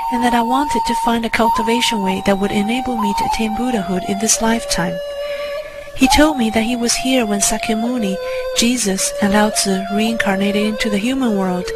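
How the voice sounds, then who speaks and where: A woman reading a scripted first-person spiritual story in a measured storytelling manner. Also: on the high side, poor audio. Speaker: narrator. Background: music under the voice.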